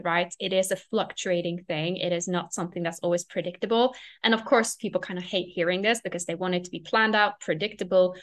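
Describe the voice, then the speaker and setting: A woman's voice: lowish voice, a bit bright. A young woman speaking as a guest on a podcast, explaining her views reflectively and often checking in with "right?". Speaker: podcast guest, recovery coach. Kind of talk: casual podcast interview.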